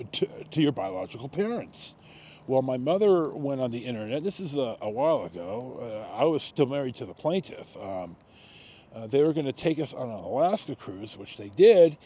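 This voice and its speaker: Mellow older American man, halting storyteller. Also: mid-range voice. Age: 60s. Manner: halting, with frequent pauses and repetitions. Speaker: storytelling vlogger. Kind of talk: casual rambling personal storytelling.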